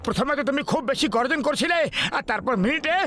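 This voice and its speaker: A man voicing a naive, excitable cartoon hero with an exclamatory, indignant manner. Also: extremely high pitch, fast, noisy background. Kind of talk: exaggerated cartoon dub acting.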